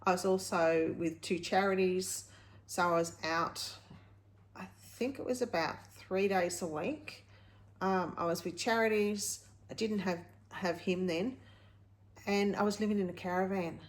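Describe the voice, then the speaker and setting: A woman's voice: mid-range voice, steady tone, a little slow. A woman, talking candidly to viewers in a casual, rambling vlog style, often correcting herself. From Australia. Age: late 50s. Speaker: vlog host.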